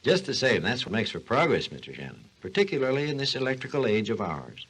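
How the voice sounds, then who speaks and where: A learned, patient doctor of science on a vintage 1940s radio program. He lectures like a storyteller, with animated rises and falls. The voice has a slightly rough, unclear grain from the old recording. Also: mid-range voice, fast, full character voice. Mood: genial. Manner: didactic, storytelling delivery. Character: learned, patient male scientist explaining invention history. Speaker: expert guest on a science program. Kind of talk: 1940s scripted educational radio dialogue.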